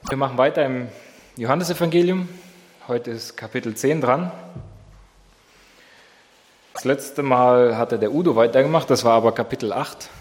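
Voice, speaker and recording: A man's voice: mid-range voice, moderate pace, pauses often. Man with a slight cold, Bible study leader who quizzes the group, voice in a reverberant hall. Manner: asks the group questions. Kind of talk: prayer and interactive Bible study. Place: church hall.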